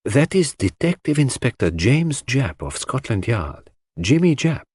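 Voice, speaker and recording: A man voicing a courteous, shrewd detective with a measured, formal and confiding manner in an audiobook. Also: medium pitch, sing-song, darkish tone, slightly rough, no echo, clean studio sound, pitched above the performer's own voice.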